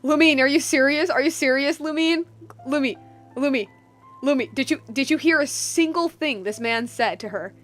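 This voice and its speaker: A young woman doing casual live game reactions, talking in an animated, slangy, rambling way as a streamer. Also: sits high, normal speed, faint music behind.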